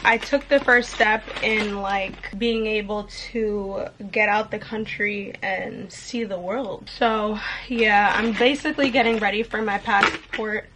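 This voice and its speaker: A woman's voice: mid-range voice, steady tone, slow, few pauses, a bit bright, a little hiss or hum, poor audio. A woman in her late twenties from the United States, chatting upbeat to the camera in her bedroom while putting on her makeup. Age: late 20s. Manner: chatty and upbeat, talking while gluing on lashes. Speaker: beauty vlogger. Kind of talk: casual chat to camera while doing makeup. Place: bedroom, indoors. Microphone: camera on desk facing her.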